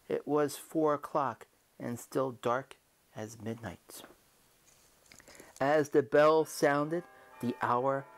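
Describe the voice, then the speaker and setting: Someone acting out a theatrical, guilt-mad murderer confessing. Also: mid-range voice. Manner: theatrical, swinging from hushed to shrieking. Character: guilt-mad murderer narrating his confession, increasingly frantic. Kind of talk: dramatic reading of a gothic horror tale.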